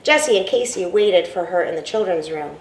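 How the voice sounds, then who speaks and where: A woman, a school principal, reading a picture book aloud in an expressive storytelling way. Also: lowish voice, brisk, slight background noise. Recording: roomy, from a distance, faint ambience.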